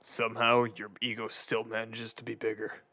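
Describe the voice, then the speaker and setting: A man playing a sarcastic, morose undead sidekick with dry, deadpan muttering in a comedic audio drama. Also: on the low side, moderate pace. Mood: sardonic, muttering.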